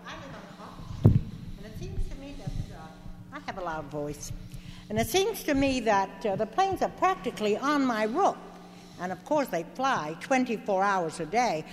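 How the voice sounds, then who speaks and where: An older woman, a local resident, making an aggrieved, insistent complaint at a public hearing. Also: mid-range voice. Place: large meeting hall. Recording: echoey hall with faint hum.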